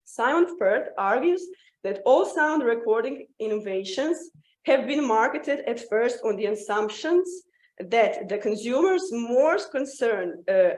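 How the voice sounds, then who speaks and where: A woman presenting a scripted academic paper at a symposium, reading aloud haltingly and often stumbling and restarting words. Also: fairly high pitch, reverberant.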